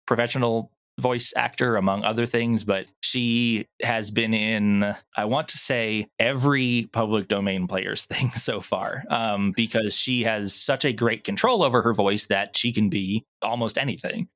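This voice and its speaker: A man's voice: on the low side, fairly even intonation, measured pace, few pauses, a bit bright, no room sound, clean studio sound. A man speaking casually as an interview guest, explaining his creative work and thinking aloud as he goes. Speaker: interview guest, audio drama producer.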